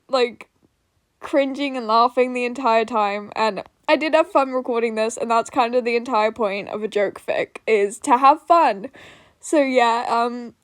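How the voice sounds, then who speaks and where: A woman's voice: a little high, dry recording, clean studio sound. A woman podfic narrator chatting casually to her listeners in an informal, giggly, self-deprecating way.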